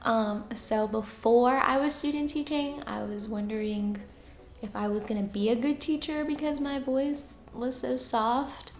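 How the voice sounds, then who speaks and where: A young woman talks in a soft, fairly high voice with a gravelly edge. She chats easily, filling pauses and laughing often. The room is echoey, with faint music behind her. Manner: chatty, laughs often, lots of fillers. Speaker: teacher answering viewer questions. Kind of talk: casual Q&A chat.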